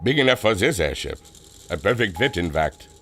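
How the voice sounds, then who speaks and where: Adventure radio-play captain, male actor: low, cryptic, grave, expressive swings in pitch. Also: normal speed, a little hiss or hum, exaggerated voice. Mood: assured, secretive. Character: secretive, grave airship captain and inventor. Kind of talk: audio drama acting.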